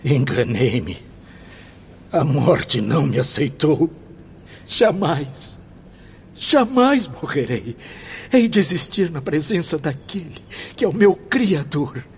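A man's voice: a little high, sing-song, takes it slowly, slight background noise, exaggerated voice. An actor playing a tormented, remorseful man crazed by jealous passion in a theatrical radio drama. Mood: desperate repentance. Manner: theatrical, anguished, swinging from confession to frenzy. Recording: loud dramatic music behind the voice.

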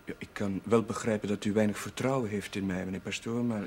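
A young man playing a shy, earnest curate in a television period drama, halting, polite and deferential. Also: fairly low pitch, steady tone, natural voice. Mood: earnest, uneasy. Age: young adult. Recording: faint noise on old TV audio.

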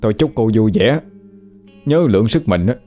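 A man's voice: on the low side, animated, normal speed, warm tone, soft background music, natural voice. Man playing a chatty, nosy Southern Vietnamese ghost. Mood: grumbling. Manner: talkative, fussy, flustered.

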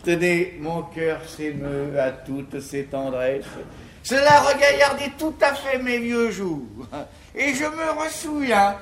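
A man's voice: sits high, very animated, slow pace, exaggerated voice. An actor playing a blustering but tender-hearted old father in classical French verse comedy, with emphatic, declamatory delivery. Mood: fond, sentimental. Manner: emphatic, declamatory, swinging from scolding to fond. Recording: echoey 1950s theatre recording, clear noise.

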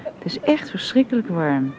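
An actress playing a caring, devoted woman who minds a child in a radio drama, with a gentle, encouraging manner. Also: lowish voice, sing-song, normal speed. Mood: affectionate reading. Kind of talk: naturalistic radio drama acting. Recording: muffled, noisy old radio recording.

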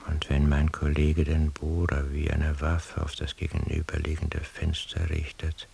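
An actor playing a detached, dreamy man thinking to himself in a hushed, brooding literary radio monologue. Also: a bass voice, completely flat delivery, creaky, full character voice. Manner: hushed, slow, brooding, often sinking toward a whisper. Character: detached, dreamy man lost in his solitary inner thoughts. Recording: faint tape hiss.

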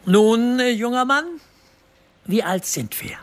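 High-pitched, self-important male personnel manager. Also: slow pace, loud music behind the voice. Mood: patronising, probing. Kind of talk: radio drama acting.

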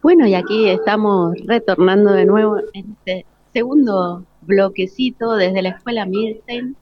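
A woman's voice: mid-range voice, fairly even intonation, measured pace, no echo. A woman with an Argentine accent presenting informally as a live radio host on location. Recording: dry, dull lo-fi broadcast.

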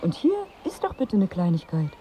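An actress in a radio drama playing a wry, exasperated wife on holiday, dry and bossy in manner. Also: female voice, lowish voice, wildly swooping pitch, moderate pace, noisy background. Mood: dry, matter-of-fact. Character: wry, exasperated married woman on holiday seeking pregnancy.

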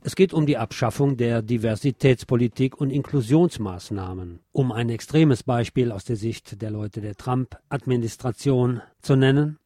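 A man's voice: medium pitch, moderate pace, few pauses, mellow, no room sound, clean studio sound. A man presenting scripted news with commentary, explaining items in an opinionated way.

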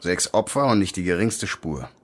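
Gruff, weary police detective. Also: male voice, sits low, expressive, moderate pace. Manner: terse, coarse-mouthed, commanding. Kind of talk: naturalistic German radio drama acting.

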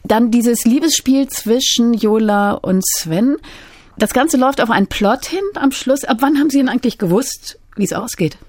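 A woman's voice: fairly high pitch, moderate pace, darkish tone, no room sound. Female interviewer, dry studio sound. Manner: engaged, analytical. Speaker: radio interviewer. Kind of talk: literary interview.

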